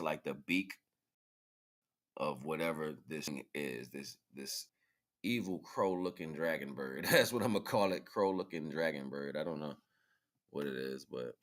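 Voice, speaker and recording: A man with an extremely low voice talks as a livestream host. His delivery is casual and rambling, full of slang and halting fragments, as he gives informal spiritual commentary.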